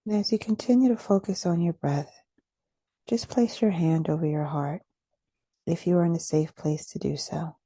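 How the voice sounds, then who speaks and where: A woman leading a guided meditation as a teacher, speaking slowly, gently and deliberately in a breathy, soothing voice with long pauses. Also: fairly low pitch, no room sound.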